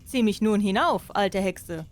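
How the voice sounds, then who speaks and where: A cocky storybook soldier, acted out by a woman narrator. The lines are blunt and self-assured, in a medium-pitched female register. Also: a little slow, lightly acted. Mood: bold. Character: cocky, plain-spoken male soldier in a fairy tale.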